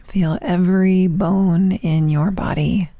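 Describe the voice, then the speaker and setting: Low, very slow woman guiding meditation. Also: steady tone, dry recording. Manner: hushed, unhurried phrasing with long pauses. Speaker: yoga nidra teacher. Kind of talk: guided meditation, soothing instruction.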